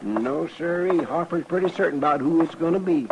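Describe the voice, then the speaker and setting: An actor playing an earnest, deferential marshal's sidekick in an old radio western, folksy and eager. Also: male voice, on the high side, lively intonation, a little fast. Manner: rustic, eager, respectful. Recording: noisy vintage radio recording.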